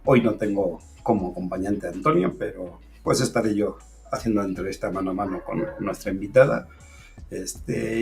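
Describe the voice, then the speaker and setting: A man hosting an online interview show, speaking in a halting way with frequent fillers. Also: lowish voice, vocal fry, darkish tone. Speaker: host.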